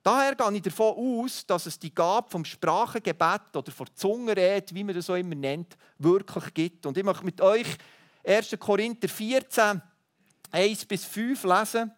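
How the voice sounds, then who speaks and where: A man's voice: high voice, moderate pace. A man preaching a sermon, earnest and teaching, thinking aloud with small restarts. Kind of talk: sermon to a congregation.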